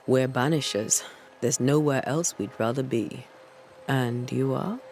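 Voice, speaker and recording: An actor playing a steady, dutiful ghost hunter in a period game, calm and plain-spoken with archaic phrasing. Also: male voice, mid-range voice, unhurried, faint music behind, natural voice. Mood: calm, inquiring. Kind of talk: dramatic period game acting.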